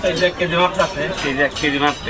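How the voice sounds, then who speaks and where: A man, a market seller chatting casually in brisk, clipped phrases while serving customers. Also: fairly high pitch, steady tone, over loud music, low-quality recording. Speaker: market bread and sweets seller. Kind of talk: casual market haggling and chat. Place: outdoor rural market stall. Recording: loud crowd and outdoor birds. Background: loud crowd, birds.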